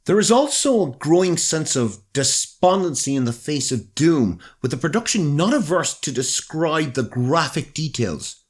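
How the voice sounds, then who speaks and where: A man with an Irish accent presenting scripted documentary narration in a measured, dramatic storytelling manner. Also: fairly high pitch, big pitch swings. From Ireland.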